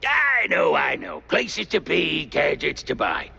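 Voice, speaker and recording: A man playing a dim, chatty cartoon game character with a gravelly voice and a rambling, stammering manner. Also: fairly high pitch, huge pitch swings, slow pace, bright, forceful tone, muffled recording, slight background noise, full character voice. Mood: sheepish. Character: dim, chatty male cartoon henchman type.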